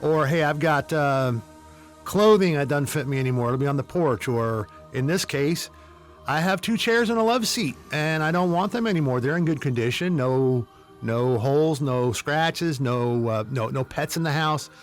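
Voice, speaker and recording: A man's voice: a little high, expressive, normal speed, soft background music. A man hosting a podcast, telling humorous stories in a casual, wry, tongue-in-cheek way. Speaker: podcast host. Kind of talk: casual humorous storytelling commentary.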